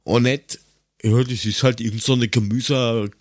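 A man's voice: medium pitch, fairly even intonation, measured pace, dry recording. Austrian man ranting, dry close sound. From Lower Austria. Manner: ranting, sarcastic, crude joking. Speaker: podcast co-host. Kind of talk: casual chat.

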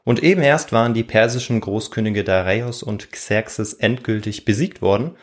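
A man's voice: fairly low pitch, moderate pace. A man, hosting a history podcast and telling the story in a semi-scripted way. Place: warm indoor room.

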